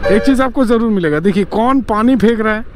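High-voiced man vlogging over road noise. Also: fast. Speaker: motorcycle vlogger. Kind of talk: casual chat, narrating a ride. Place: outdoors on a motorcycle on the highway. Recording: noisy road, engine and traffic sound. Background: vehicle and traffic noise.

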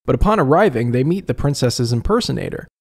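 A man's voice: medium pitch, animated, fast talker, vocal fry, breathy edge. A man narrating a scripted review as a video host, conversational with wry asides.

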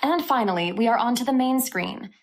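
A woman host narrating a scripted software review for a tech channel. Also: on the high side, animated, a little fast.

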